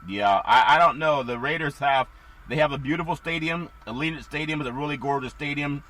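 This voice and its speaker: Guy hosting a football talk show, kinda raspy scratchy voice, bright and punchy, rambles a lot. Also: a little high, brisk. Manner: rambling, asks co-host for thoughts. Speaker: sports talk host. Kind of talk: casual sports talk.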